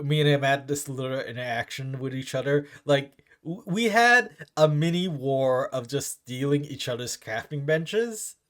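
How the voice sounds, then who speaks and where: A young man with a deep voice chatting casually while opening gifts. He stammers and restarts his words often. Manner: stammering, repeats words, halting. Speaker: Minecraft content creator.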